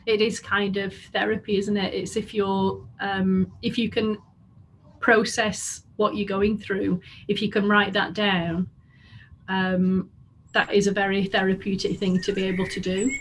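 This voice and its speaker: A woman poet living in England, talking quickly and casually with some hesitations. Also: medium pitch, little pitch movement, a little hiss or hum, poor audio. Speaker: poet and arts administrator. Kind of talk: casual interview talk.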